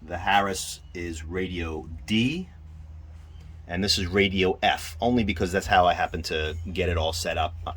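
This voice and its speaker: A man giving an informal hands-on equipment demonstration, halting and thinking aloud as he goes. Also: low voice. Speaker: presenter demonstrating radio equipment. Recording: clear background noise.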